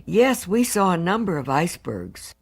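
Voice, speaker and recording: An actress playing a married woman survivor, giving composed, earnest testimony in a measured, formal, precise way. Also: lowish voice, very animated, creaky, slightly rough, full character voice. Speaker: actor reading witness testimony. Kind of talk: dramatised reading of hearing testimony.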